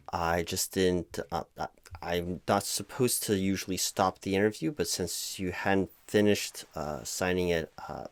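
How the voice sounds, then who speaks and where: Halting, low-voiced man as flustered hiring manager. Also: fairly bright tone, no echo. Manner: halting, stammering, awkwardly formal. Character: flustered, distracted, apologetic male hiring manager. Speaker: performer playing a job interviewer. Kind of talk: one-sided comedic audio roleplay, loud spoken.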